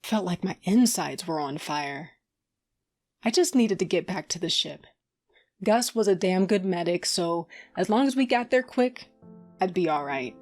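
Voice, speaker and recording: Wounded, low-voiced fighter. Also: female voice, expressive, measured pace, a little mellow. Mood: pained, determined. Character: wounded, stubborn woman fighter with a blaster. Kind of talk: first-person audio drama narration. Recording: music underneath.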